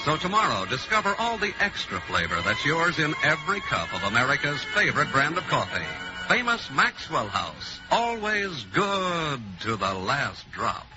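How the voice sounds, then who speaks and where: A male announcer reading a scripted radio commercial in a smooth, measured delivery. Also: on the high side, wildly swooping pitch, music in the background. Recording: dull lo-fi old radio broadcast.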